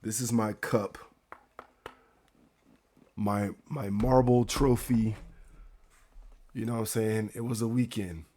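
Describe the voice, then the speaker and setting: A man's voice: fairly low pitch, fairly even intonation, slow, long pauses, warm tone. A man, hosting a livestream in a casual, slangy, hyped way and often repeating his phrases. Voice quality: raspy. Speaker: livestream host.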